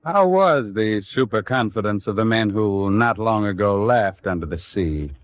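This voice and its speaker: A man delivering measured, formal narration in a dramatic documentary style. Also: lowish voice, animated, no echo.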